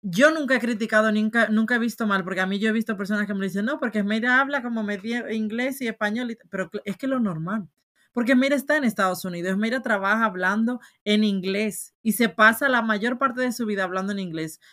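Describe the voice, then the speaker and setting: A woman's voice: medium pitch, quick pace, fairly bright tone. A woman from Spain with a Spanish accent mixed with Dominican speech, co-hosting a casual podcast chat and telling stories with animation. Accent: Spanish with Dominican mix. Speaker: podcast co-host.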